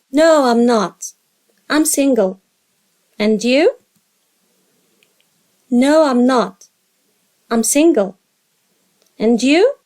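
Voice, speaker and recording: A woman English teacher reading short dialogue lines slowly and carefully for learners to repeat after her. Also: high-pitched, a little mellow. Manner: slow, carefully spaced drill reading.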